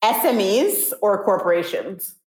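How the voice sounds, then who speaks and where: A woman co-hosting a podcast, asking quick questions in a relaxed, teasing way. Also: mid-range voice, a little hiss or hum. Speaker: podcast co-host. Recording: roomy echoey room.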